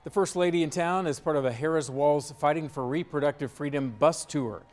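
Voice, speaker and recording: A man's voice: a little high, brisk, noisy background. A man with an American accent reading scripted local news as a television anchor in a studio.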